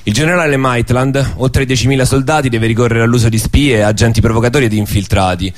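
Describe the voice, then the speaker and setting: A man's voice: medium pitch, fairly even intonation, fast talker. A man giving a prepared radio talk, partly read aloud, in formal bookish phrasing with small stumbles. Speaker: radio show speaker.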